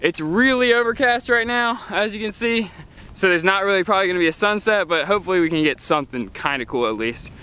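A young man vlogging casually to a handheld camera as he hikes a wooded mountain trail, relaxed and upbeat. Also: sits high, fast, a little hiss or hum. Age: young adult. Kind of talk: casual vlog narration while hiking. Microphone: handheld camera at arm's length.

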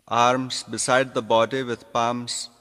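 Very slow-speaking man guiding meditation, long reverb. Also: medium pitch, little pitch movement, a bit bright. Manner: deliberate, instructing in short phrases with pauses. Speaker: yoga nidra instructor. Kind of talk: guided meditation instruction. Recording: long reverberant hall echo.

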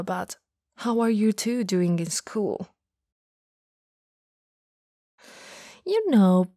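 A woman acting a friend's warm, teasing goth older sister in an intimate, soft-spoken ASMR roleplay, sometimes slipping toward a whisper. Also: mid-range voice, no room sound, studio quality. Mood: gentle, concerned. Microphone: close studio microphone.

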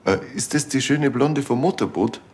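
An actor playing a cheeky, unbothered, nosy café worker who talks in a casual, teasing way. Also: male voice, medium pitch, moderate pace, darkish tone, lightly acted. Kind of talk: TV crime comedy dialogue.

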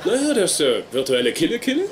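An actor playing a lewd, teasing young nerd in a dubbed sitcom, with a suggestive manner. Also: male voice, a little high, wildly swooping pitch, fast, heavy background noise.

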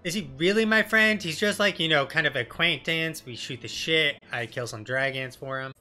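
A man's voice: fairly high pitch, moderate pace, bright, forceful tone, soft background music. A man in his thirties giving casual game commentary as a streamer, speaking into a headset indoors. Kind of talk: casual live Let's Play commentary.

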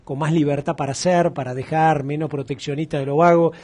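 A man's voice: a little high, fairly quick. A middle-aged man with an Argentine accent interviewing a guest in a TV studio, talking animatedly and acting out quoted voices. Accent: Argentine Spanish. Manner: animated, gestures a lot, acts out quoted voices. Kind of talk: casual interview chat.

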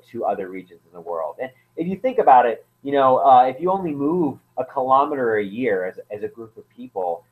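Man on a tinny phone line. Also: on the low side. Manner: hesitant, many fillers and restarts. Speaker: anthropologist guest. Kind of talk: casual interview explanation.

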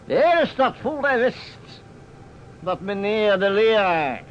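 An actor playing a pompous, blustering older small-town mayor, easily indignant, in a Dutch radio drama. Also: male voice, high voice, sing-song, takes it slowly, full character voice. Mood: aggrieved. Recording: muffled vintage radio recording with noise.

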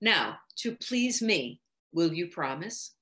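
A woman's voice: fairly low pitch, big pitch swings, slow pace, sharp tone, full character voice. A woman voicing an earnest, authoritative husband in a period story, formal, emphatic and persuasive.

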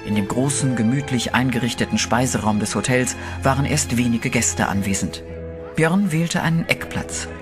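A man giving scripted third-person narration in an even, storytelling way for a horror radio play. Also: medium pitch, normal speed. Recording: music bed under the voice.

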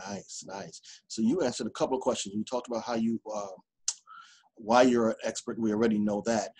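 A man's voice: lowish voice, fairly even intonation, fairly quick, a little mellow, husky. A man from New York City hosting a business podcast over a video call, upbeat and chatty. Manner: upbeat, laughs often, chatty. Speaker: podcast host. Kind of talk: casual interview. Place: indoor office.

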